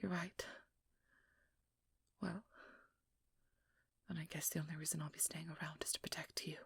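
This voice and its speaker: A woman playing a determined, guarded and protective vampire hunter in an intimate ASMR role-play, hushed and often whispering, with halting, earnest delivery. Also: sits low, fairly even intonation, gravelly, slightly rough, dry recording, heavily acted. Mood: firm, protective. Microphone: close microphone in a dry room.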